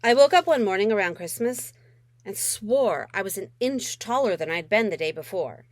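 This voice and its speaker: A woman narrates a middle grade novel in the first person, as an author reading her own work. Her pitch moves widely, with wry, dramatic emphasis on key phrases. The sound is dry, with very little room. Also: expressive, moderate pace, no room sound.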